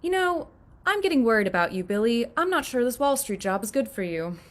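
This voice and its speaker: A woman's voice: a little high, sing-song, fairly quick. A woman reading a scene at an audition, playing a wry, teasing character who sighs. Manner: wry, sighing.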